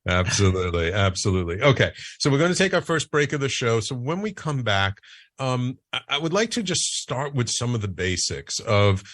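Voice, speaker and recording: A man hosting a talk show, animated, with frequent stammers and restarts. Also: mid-range voice, a little fast, no echo, clean studio sound. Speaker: host.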